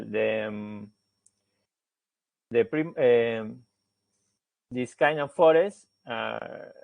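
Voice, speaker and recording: Slow, gravelly man with an Argentine accent. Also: on the low side, no echo. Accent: Argentine Spanish. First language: Spanish. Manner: hesitant, many fillers and restarts. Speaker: researcher giving a seminar talk. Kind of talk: academic presentation.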